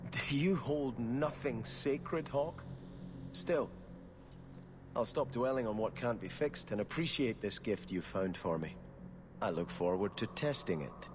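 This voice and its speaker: An actor playing a pious, earnest young exiled prince in a video game, speaking formally and reverently with a Scottish accent. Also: male voice, medium pitch, expressive, a little slow, noisy background, lightly acted. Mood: wounded, then gracious. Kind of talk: dramatic video game dialogue.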